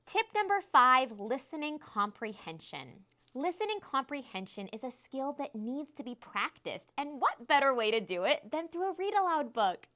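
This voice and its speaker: A woman teacher hosting a scripted instructional segment, enunciating clearly and stressing key phrases. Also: fairly high pitch, sharp tone. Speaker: teacher and host of classroom tips show.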